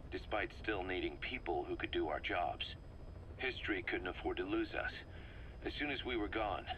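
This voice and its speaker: An actor voicing a fervent, embittered mercenary second-in-command in a video game, briefing his boss in an emphatic, persuasive way. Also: sharp tone. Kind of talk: video game dramatic dialogue. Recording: noisy cassette briefing with background rumble.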